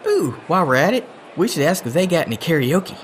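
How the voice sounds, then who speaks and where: A goofy, animated sidekick agent. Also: male voice, fairly high pitch, fast talker, a little hiss or hum. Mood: chatty. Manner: folksy, enthusiastic, colloquial. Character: goofy, excitable, good-natured male agent. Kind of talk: comedic audio drama acting.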